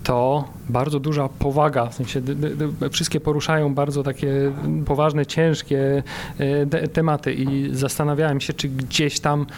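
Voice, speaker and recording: Halting man with a higher-pitched voice. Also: level tone. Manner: halting, stumbles and repeats words. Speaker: interviewer. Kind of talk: conversational interview questions. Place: recorded in a cinema. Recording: noticeable background noise.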